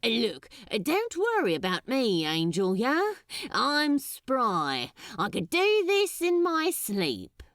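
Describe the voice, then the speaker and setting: Woman voicing a cocky, reckless male demon, swooping pitch. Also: wildly swooping pitch, takes it slowly, fairly bright tone, dry recording, studio quality, exaggerated voice. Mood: breezy, confident. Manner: breezy, casual, coaxing.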